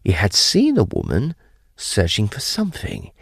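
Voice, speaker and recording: A man's voice: fairly low pitch, wildly swooping pitch, moderate pace, creaky, slightly rough, no echo, clean studio sound, exaggerated voice. An actor playing a grave, intrepid ghost hunter, narrating his own ghost story in a theatrical, suspenseful way. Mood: bewildered. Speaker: actor narrating an audio drama. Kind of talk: dramatic first-person ghost story narration.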